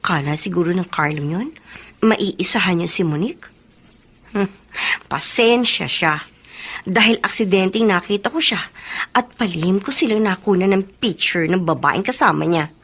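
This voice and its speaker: A woman playing a scheming, indignant young friend thinking aloud in a Filipino radio drama, with a husky voice. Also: on the low side, normal speed, a bit bright. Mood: suspicious, gloating.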